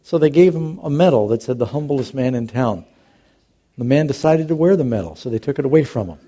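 A man's voice: mid-range voice, lively intonation, fairly quick, warm tone. A middle-aged man, a pastor, preaching a sermon in a conversational, storytelling way with touches of humor.

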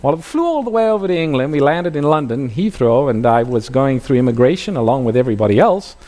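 A man's voice: medium pitch, sing-song, quick pace, dark tone. A middle-aged man from South Africa, a pastor preaching a sermon and telling a personal story, asking the audience questions.

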